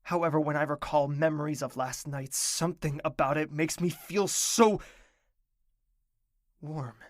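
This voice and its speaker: A man voicing a brooding, lonely young royal, narrating his thoughts in first person in an audio drama, quiet and sometimes whispering. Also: a little high, a little slow, no room sound, lightly acted. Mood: wistful.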